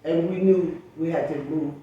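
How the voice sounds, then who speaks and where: An older woman in her seventies or beyond, speaking as an interview guest in a reflective, emphatic and deliberate storytelling way. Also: sits low, fairly even intonation, dark tone, highs cut off. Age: 70s or older. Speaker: interview guest, sociologist and teacher. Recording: very echoey room, distant mic, faint noise.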